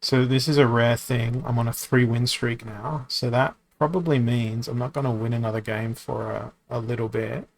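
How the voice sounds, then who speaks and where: A man hosts a live stream of a card game, talking loosely to his audience. His voice sits at a middle pitch, and he rambles with plenty of ums and restarts while he reads out viewer questions and answers them. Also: fairly even intonation, normal speed, vocal fry, hardly any pauses. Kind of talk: casual live-stream chat.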